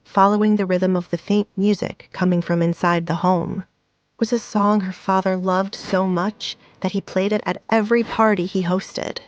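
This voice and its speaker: A woman's voice: mid-range voice, little pitch movement, normal speed, dry recording, muffled recording. A woman giving scripted, dramatic story narration as the narrator of an audio drama.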